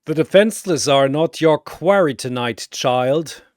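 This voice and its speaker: A man's voice: fairly high pitch, expressive, a little slow, no room sound, studio quality. A man voicing a theatrical masked avenger, formal, articulate and coolly mocking, in a battle story.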